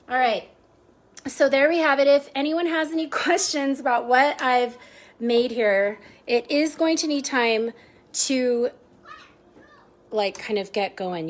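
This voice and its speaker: Woman, home kitchen, live cooking chat, chatty, somewhat high voice, easily sidetracked. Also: slight background noise. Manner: chatty, greets viewers by name, easily sidetracked. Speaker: host of a cooking livestream.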